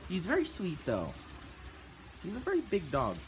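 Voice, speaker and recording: Young female vlogger, casual and chatty, animated pitch, rough noisy phone audio. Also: normal speed. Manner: chatty, gushing at the dog. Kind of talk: casual vlog chat. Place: porch and house, outdoors and in. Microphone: handheld phone camera.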